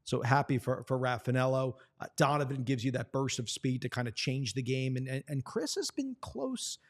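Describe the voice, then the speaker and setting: A man's voice: medium pitch, lively intonation, moderate pace. Guy who does play by play, talking sports in a conversation, earnest, backs the players. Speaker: radio play-by-play sports announcer, guest.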